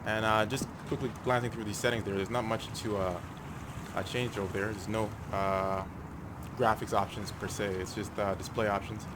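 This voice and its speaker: A man's voice: fairly low pitch, flat delivery, normal speed. A man giving casual, unscripted game commentary, hesitantly thinking aloud as he plays. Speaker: gaming video host. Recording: clear background noise.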